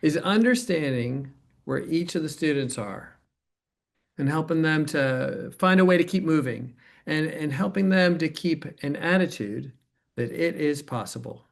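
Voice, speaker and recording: A supportive university director played by a man, speaking in a conversational interview style. He explains things a little haltingly and often checks with the listener. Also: a little high, expressive, vocal fry. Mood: approving, earnest. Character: supportive male university center director praising colleague. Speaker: performer portraying a center director. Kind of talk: dramatized interview narrative, conversational.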